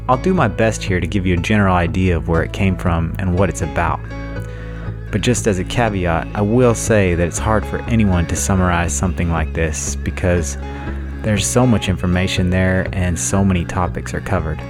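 A man hosting a podcast, giving a measured, explanatory introduction in a scripted style. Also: low voice, music in the background. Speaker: podcast host.

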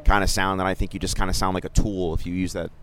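A man's voice: low-pitched, flat delivery, quick pace, a bit bright, slight background noise. A middle-aged man co-hosting a podcast, chatting casually and joking, often restarting his sentences. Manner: rambling, self-interrupting, joking. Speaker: podcast co-host, sports journalist. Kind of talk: casual chat.

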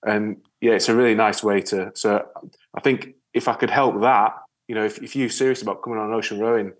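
Low-voiced northern English man, halting and conversational. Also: steady tone. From Yorkshire, England. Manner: rambling, halting delivery with repeated words. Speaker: interview guest, ocean rower and spearfisher. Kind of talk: casual conversational storytelling.

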